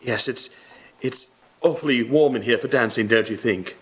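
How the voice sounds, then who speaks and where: A man's voice: mid-range voice, normal speed, natural voice. A man acting as a shy young apprentice in a live radio play, polite and hesitant. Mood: bashful, flustered. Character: shy, awkward, well-mannered young male apprentice.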